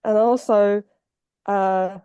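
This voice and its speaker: Woman, Chinese accent, non-native English, slow, mellow, earnest, halting, dry sound. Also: mid-range voice, fairly even intonation, clear, resonant tone, dry recording. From China. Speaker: guest translator. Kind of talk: casual chat.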